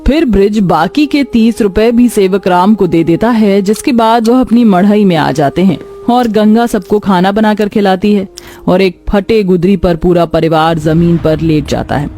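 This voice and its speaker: Mellow female story narrator. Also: mid-range voice, moderate pace, soft background music. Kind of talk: scripted cartoon story narration.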